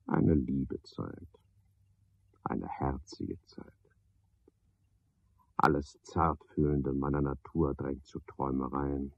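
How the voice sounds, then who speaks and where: An actor playing a cynical, scheming night porter who talks to himself in a sardonic, pedantic way in a radio play monologue. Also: male voice, rumbling low, gravelly, breathy edge, no echo, exaggerated voice. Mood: brooding, muttering. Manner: sardonic, pedantic, swinging from muttering to outbursts. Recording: dull, lo-fi, dry studio radio recording.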